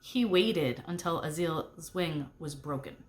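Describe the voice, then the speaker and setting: A woman's voice: sits low, expressive, slow, a little hiss or hum, pitched below the performer's own voice. Woman voicing a snarky, boastful young hell boy. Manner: scoffing, boastful.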